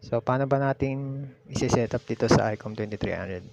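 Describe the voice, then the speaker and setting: A man's voice: medium pitch, a little fast, gravelly. A Filipino man hosting a casual step-by-step demonstration, explaining in an instructional way and repeating key steps. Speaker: tutorial host. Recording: muffled lo-fi audio with background noise.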